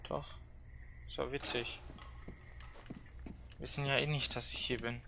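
A man's voice: mid-range voice, flat delivery, a little slow, vocal fry, crowd noise. A young man chatting casually while playing a video game, blunt and teasing in a slangy way. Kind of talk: casual gaming chat.

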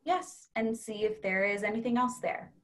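An actress playing a calm young woman in a play reading, slightly high in pitch. She is deadpan and literal, holding her ground matter-of-factly. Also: normal speed, lightly acted. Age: young adult. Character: calm, matter-of-fact woman defending a small change. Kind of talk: script read-through of a one-act play. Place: indoors at home on a video call.

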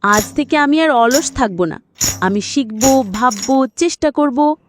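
A woman's voice: sits high, lively intonation, takes it slowly. A woman voicing a lazy, timid, imaginative rabbit in a children's cartoon, with a whiny, playful manner. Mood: resolved. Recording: roomy echo with faint music.

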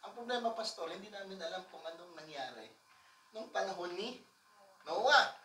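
Pastor man preaching loud and forceful, bright voice, echoey room, mic kinda far off. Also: high-pitched, animated, a little fast, a little hiss or hum. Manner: loud, emphatic, asks the congregation for amens. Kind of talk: sermon. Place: church stage with colored lights. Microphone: far camera.

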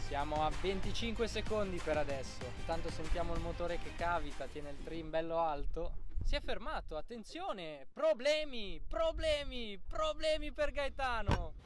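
A young man giving excited commentary on a boat race, counting down the starts and calling the action from a boat at sea. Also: high-pitched, moderate pace, hardly any pauses, over music. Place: outdoors on a boat at sea, then a boat show stand.

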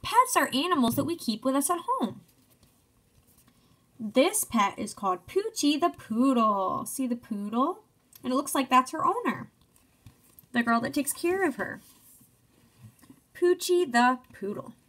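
A young woman with a high-pitched voice reads a story for small children. Her delivery swoops up and down with lots of melody. She speaks simply and encouragingly, as a teacher does with early readers. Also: very animated, measured pace, pauses often, fairly bright tone. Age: young adult. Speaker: teacher reading aloud to children. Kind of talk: children's picture-book read-aloud with questions to listeners.

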